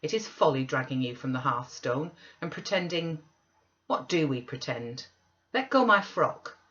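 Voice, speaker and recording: A woman's voice: low voice, animated, unhurried, a bit bright, muffled recording. A woman voicing a proud, impatient young lady who scolds sharply and scornfully in an audiobook reading. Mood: scornful, angry.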